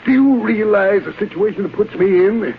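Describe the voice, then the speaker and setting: A man playing an irascible, domineering official in an old radio drama, gruff and imperious, on a tinny, noisy recording. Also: sits high, animated, normal speed. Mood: angry, accusing. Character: irascible, domineering male highway commissioner, blustering boss.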